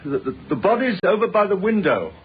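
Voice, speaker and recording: Frantic confused british husband in old radio drama, stammery, pitch all over the place, muffled noisy recording. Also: male voice, sing-song, brisk. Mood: bewildered. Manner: stammering, agitated, broken phrasing. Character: distraught, confused husband confessing to a shooting.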